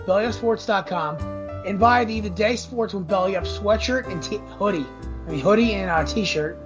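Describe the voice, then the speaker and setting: A hoarse man in his twenties hosting a casual sports podcast, rambling through upbeat plugs and a pep talk. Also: sits high. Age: 20s. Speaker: podcast host. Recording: dull lo-fi audio with jazz underneath.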